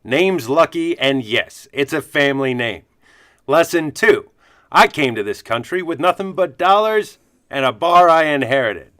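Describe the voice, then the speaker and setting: A man's voice: a little high, lively intonation, a little slow, bright, forceful tone, no room sound, studio quality. A man acting the part of a gregarious, wry, fatherly bartender to superheroes in a comic radio play. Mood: worldly, coaxing.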